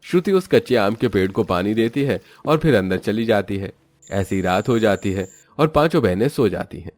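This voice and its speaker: Low, dry male story narrator. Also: lively intonation, moderate pace, a little mellow, no echo. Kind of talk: scripted moral-story narration.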